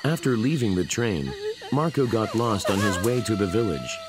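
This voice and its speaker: Male narrator for children's bedtime tale: lowish, animated delivery, loud music bed. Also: moderate pace. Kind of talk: scripted children's story narration. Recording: prominent music behind the voice.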